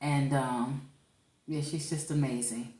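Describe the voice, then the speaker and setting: A woman's voice: a bass voice, steady tone, takes it slowly, warm tone, echoey room. A woman co-hosting a podcast in casual chat, playful and teasing. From St. Louis, Missouri. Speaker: podcast co-host.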